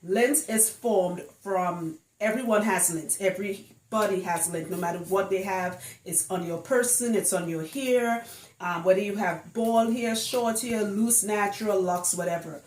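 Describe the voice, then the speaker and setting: A woman's voice: medium pitch, unhurried, darkish tone, a little hiss or hum. A woman giving a casual, chatty explainer to camera as a hairstylist, emphatic and fond of rhetorical questions. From Trinidad. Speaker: locs hairstylist. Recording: roomy, echoing indoor sound.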